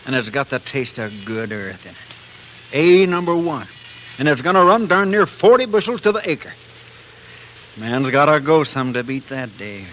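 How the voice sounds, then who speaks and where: Folksy farmhand, swooping bright voice, hearty, 1940s radio acting, faint noise. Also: male voice, medium pitch, very animated, normal speed, rough recording. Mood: hearty admiration. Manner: folksy, colloquial. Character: hearty folksy farmhand neighbor, plain-spoken and enthusiastic.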